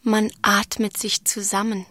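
A woman's voice: mid-range voice, level tone, unhurried. An actress playing an observant, critical female commentator in a radio drama chorus. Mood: reflective. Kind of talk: radio drama choral narration. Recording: loud music behind.

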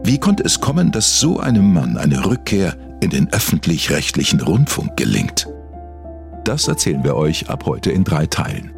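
A man's voice: very deep voice, wildly swooping pitch, normal speed, vocal fry, faint music behind, heavily acted. A man playing a self-serious investigative influencer, narrating a satirical true-crime podcast in a solemn, dramatic way. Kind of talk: scripted documentary narration, satirical.

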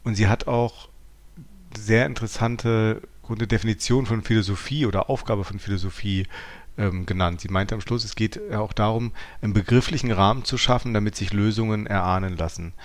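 A man's voice: on the low side, steady tone, a little fast. A man co-hosting an intellectual podcast, reflective and searching as he rephrases his thoughts. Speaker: podcast co-host.

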